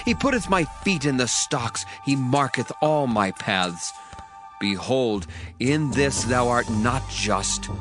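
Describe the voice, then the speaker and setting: A man's voice: medium pitch, animated, slow, a bit bright. A man voicing Elihu, an indignant young man eager to speak, in an earnest dramatized scripture reading with rising emphasis. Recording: dry voice, faint music underneath.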